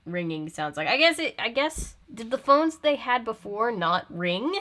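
A woman's voice: fairly high pitch, big pitch swings, moderate pace, background noise. A young woman giving casual, comedic reaction commentary, full of sarcastic asides and mock exasperation. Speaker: video host reacting to an old film.

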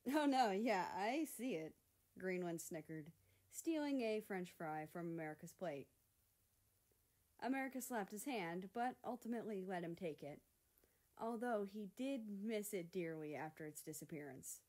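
A woman's voice: lowish voice, animated, moderate pace, darkish tone. A woman reading scripted fiction aloud as a story narrator. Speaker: fan fiction reader.

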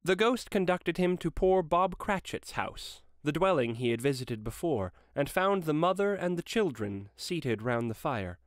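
A man narrates a storybook dramatically. His pitch moves in lively sweeps, and the sound is dry and close. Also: measured pace, few pauses, dry recording.

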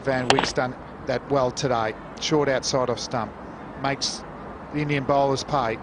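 A male cricket commentator calmly explaining the pitch and bowling on live TV. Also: measured pace. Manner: calm, technical analysis. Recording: heavy background noise, outdoor broadcast.

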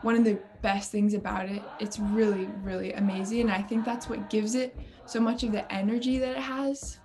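A teenage high school girl speaking casually and candidly about her activism in an interview. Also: fairly even intonation, normal speed, warm tone. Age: teens. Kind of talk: documentary interview.

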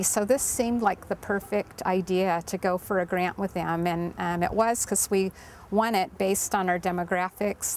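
Measured woman explaining, faint outdoor noise. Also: medium pitch. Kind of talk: interview. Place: outdoors beside a bookmobile.

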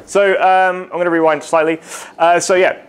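A man's voice: high-pitched, little pitch movement, moderate pace, clean tone. A young man giving a casual, rambling technical talk at a meetup, with lots of fillers and asides. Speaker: conference speaker. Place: meetup room in front of a projected slide.

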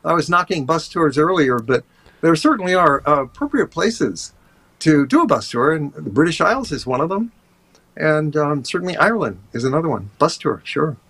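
An older man, a tour guide, chatting over a video call in a relaxed, rambling way with many fillers. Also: a little high, normal speed, breathy edge. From USA. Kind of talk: casual interview talk. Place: home office, indoors.

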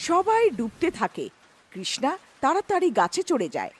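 A woman's voice: high-pitched, wildly swooping pitch, normal speed. A woman narrating a scripted cartoon story as its storyteller.

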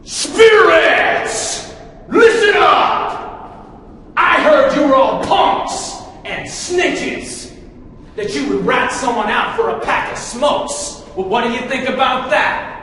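A man's voice: very high voice, slow, fairly bright tone, heavily acted. A man playing a brash, theatrical young TV ghost hunter with dramatic, slangy bravado in a video game cutscene. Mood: defiant taunting. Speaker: paranormal investigator. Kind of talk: live-action video game cutscene acting. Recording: roomy echoing hall, faint noise.

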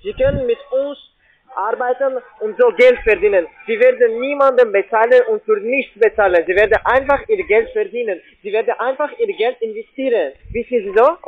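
A man with a foreign accent, not a native German speaker, making a halting, repetitive, insistent telephone sales pitch. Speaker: call-centre sales caller. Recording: muffled, narrow phone line.

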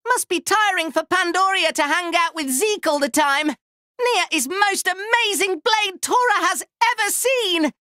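A man voicing a small, excitable, proud furry inventor creature for a video game, squeaky and cartoonish, talking in childlike broken grammar and in the third person. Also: measured pace, dry recording, clean studio sound, exaggerated voice. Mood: excitable, eager. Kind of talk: video game character voice lines.

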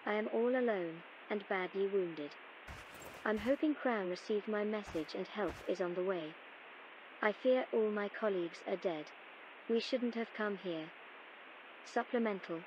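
Game role: earnest female expedition leader played by a woman, composed archaeologist dictating logs, medium pitch, clearly noisy ambient backdrop. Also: unhurried, close to an everyday voice. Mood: grave, resigned. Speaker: game character recording audio logs. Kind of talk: scripted audio-log narration, game voice acting.

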